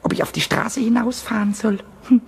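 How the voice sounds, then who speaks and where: A man playing a small, mischievous, childlike kobold in a children's radio play, stammering and repeating words excitedly in a squeaky, scratchy voice. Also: a little fast, vocal fry. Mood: scheming, excited.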